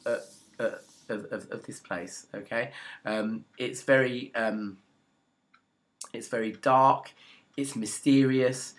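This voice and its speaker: A man's voice: fairly low pitch, a bit bright. A man talking casually to his viewers about a record, rambling with lots of ums and restarts. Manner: chatty, hesitant, many ums and restarts. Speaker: music video blogger. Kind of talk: casual rambling talk to camera.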